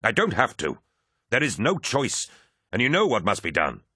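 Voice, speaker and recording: A man's voice: on the high side, very animated, a little fast, sharp tone, no room sound, studio quality, pitched above the performer's own voice. A man voicing a defiant captain who argues back against his commander. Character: angry male first captain, defiant.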